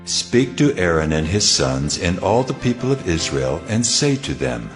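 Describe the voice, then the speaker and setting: Deep, reverberant, commanding God. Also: male voice, big pitch swings, measured pace. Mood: solemn, commanding. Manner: solemn, declarative. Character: God, male, solemn commanding divine lawgiver. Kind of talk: dramatized scripture reading. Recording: long cavernous reverb, music underneath. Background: music.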